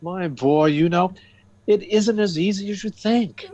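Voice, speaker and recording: A man's voice: high voice, a little mellow, no echo. A man acting a genial, pompous older boss with a fatherly manner in an old-time radio sitcom, on a slightly dull recording. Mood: puzzled, kindly. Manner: avuncular, pompous, drawn-out.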